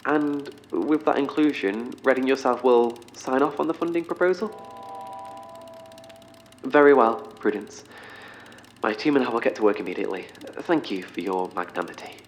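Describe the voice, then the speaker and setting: An actor playing an eccentric professor in an audio drama, speaking in a formal, wordy, slightly awkward corporate manner. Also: male voice, medium pitch, expressive, a little hiss or hum, close to an everyday voice. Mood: defensive, halting. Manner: formal, wordy, halting under pressure. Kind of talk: orientation film and meeting speech in audio drama.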